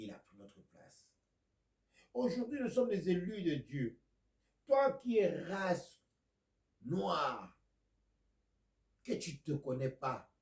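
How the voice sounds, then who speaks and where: A man from Congo preaching a video sermon, a speaker of Lingala and Kikongo who swings from loud declaiming to near-whispers. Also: fairly high pitch, reverberant.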